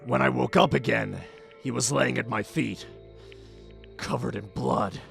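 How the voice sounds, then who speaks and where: A man playing a tough, hot-tempered, guilt-ridden young man in an anime fandub, intense and halting, moving between hushed confession and yelling. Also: medium pitch, steady tone, gravelly. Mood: anguished, remorseful. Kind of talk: dramatic anime-style fandub acting. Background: faint music behind the voice.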